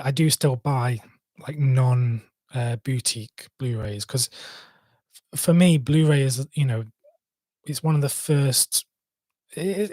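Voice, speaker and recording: A young man with a Mancunian accent hosts a casual live stream, rambling through viewer questions with plenty of fillers and false starts. His voice sits at an ordinary mid pitch, and the sound is dry and close with no room echo. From Manchester, England. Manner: rambling, hesitant, many fillers and restarts.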